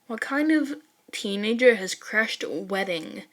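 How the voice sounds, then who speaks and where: A woman's voice: mid-range voice, expressive, measured pace, fairly bright tone. A woman voicing a snide, blunt teenage girl in a story, scoffing and slangy. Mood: scornful.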